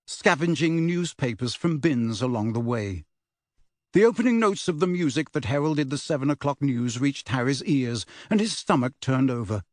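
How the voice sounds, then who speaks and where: A man's voice: a little high, dry recording, studio quality. A man reading a novel aloud as scripted audiobook narration.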